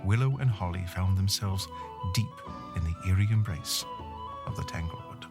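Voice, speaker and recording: A man narrating a scripted fantasy tale in a measured, dramatic storybook style. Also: low-pitched. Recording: music under the voice.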